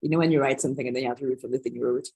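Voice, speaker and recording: A woman's voice: rumbling low, steady tone, very fast, creaky, dark tone, slightly rough. A woman organiser hosting a live online Q&A on a video call, talking loosely with many restarts and asides. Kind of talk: live-streamed online Q&A talk.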